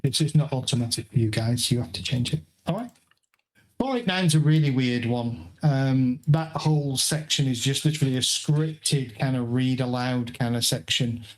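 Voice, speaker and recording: A man running a tabletop game as game master over an online call, explaining rules in a measured way with pauses and fillers. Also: mid-range voice, poor audio.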